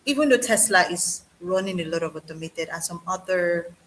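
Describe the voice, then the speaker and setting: Bright-voiced Nigerian woman, emphatic. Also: on the low side, expressive, fairly quick, a little hiss or hum, poor audio. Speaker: panelist. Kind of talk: casual roundtable discussion.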